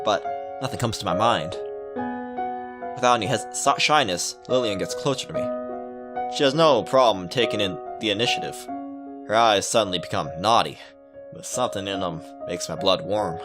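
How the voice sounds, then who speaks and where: A man reading a visual novel aloud as a let's player, adding playful joking asides. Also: lowish voice. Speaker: video game let's player. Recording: music under the voice. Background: game music.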